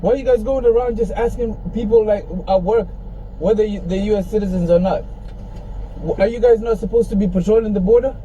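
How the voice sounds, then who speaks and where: Man, high and dark-toned, confronting someone from a parked car, phone mic, faint hiss. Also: brisk, dull audio. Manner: argumentative, incredulous. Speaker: rideshare driver filming an encounter. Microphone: phone camera.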